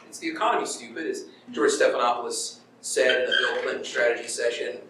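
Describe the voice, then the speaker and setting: A man's voice: mid-range voice, fast talker. A middle-aged man giving an informal university lecture in a conversational way, acting out paraphrased quotes and checking in with his listeners. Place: lecture room at a university, in front of an audience. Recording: very echoey room, distant mic, background noise.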